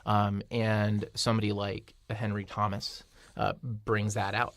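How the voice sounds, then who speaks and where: A man on a casual film discussion panel, explaining things in a wry, halting manner. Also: on the low side, monotone, a bit bright, no room sound, studio quality. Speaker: podcast panelist. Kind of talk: casual panel chat about films.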